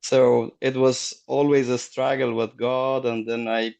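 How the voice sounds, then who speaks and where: Slow, gravelly man. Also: medium pitch, level tone, darkish tone. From Afghanistan. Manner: halting, searching for words, laughs often. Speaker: guest. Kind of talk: casual personal story.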